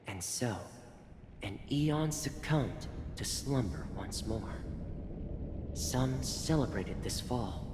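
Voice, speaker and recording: A solemn storyteller chronicles a game world in an unhurried, portentous voice. The delivery is grave and theatrical. It rings in a roomy reverb with noise behind it. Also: male voice, mid-range voice. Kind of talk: dramatic scripted narration.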